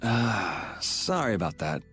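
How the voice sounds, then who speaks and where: A man's voice: fairly low pitch, sing-song, slow pace, a bit bright, faint music behind, full character voice. An actor playing a laid-back young swordsman in a video game, speaking in a casual, dry, teasing way. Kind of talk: video game cutscene acting.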